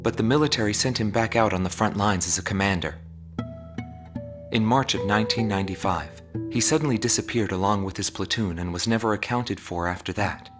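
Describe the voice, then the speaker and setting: A documentary narrator reads a dossier in a formal, steady, report-like way, as a man voices him. His voice sits lowish, with clear music playing underneath. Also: close to an everyday voice. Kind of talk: scripted narration. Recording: music bed behind.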